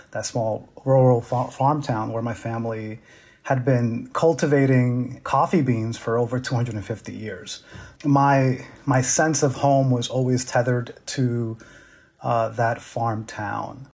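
A man's voice: mid-range voice, a little mellow, breathy edge. Dominican-born man with a New York accent. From Dominican Republic. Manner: halting, many restarts, searching for words. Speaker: interviewee. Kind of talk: reflective personal storytelling.

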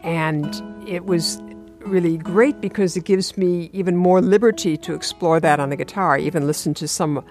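A woman with a somewhat low voice speaks as an interview guest and talks about her craft in a measured, articulate way. Faint music plays softly underneath her. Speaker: classical guitarist, interview guest. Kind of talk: interview conversation.

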